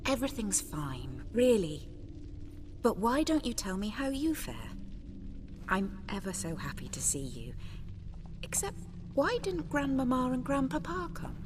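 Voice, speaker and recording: A tender young noblewoman bride in a fantasy game. She speaks very slowly and gently with lively melodic swings, over clear background noise. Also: on the high side. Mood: anxious, affectionate. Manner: gentle, romantic, formal. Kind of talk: dramatic video game acting.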